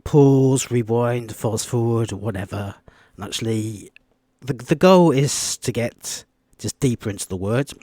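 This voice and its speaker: A quiet-voiced man giving a rambling, off-the-cuff Bible study video, with many ums and restarts. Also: mid-range voice, husky, no room sound, studio quality. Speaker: Bible teacher.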